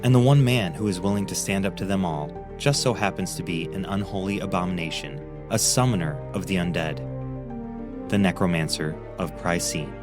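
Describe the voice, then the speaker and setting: A man playing a grave, portentous trailer narrator for a dark fantasy audio drama. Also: deep voice, a little fast, natural voice. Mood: grave, ominous. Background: music.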